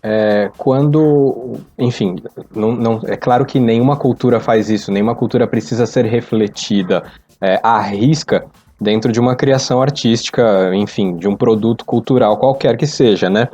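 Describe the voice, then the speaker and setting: A man's voice: medium pitch, moderate pace, dark tone, highs cut off. A Brazilian man in casual podcast discussion, rambling and hesitant as he corrects himself. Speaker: podcast participant.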